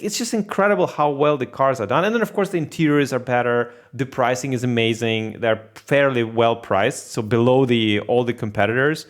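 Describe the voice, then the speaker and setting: Excitable man. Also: medium pitch. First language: Russian. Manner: enthusiastic, rambling rants. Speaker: podcast host. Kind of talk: casual podcast chat.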